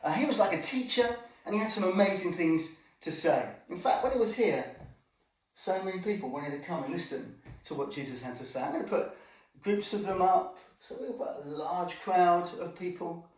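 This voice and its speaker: A man's voice: sits high, expressive, normal speed, darkish tone. A man telling an informal children's Bible story, chatting directly to his young listeners as he presents. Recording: echoey room, distant microphone.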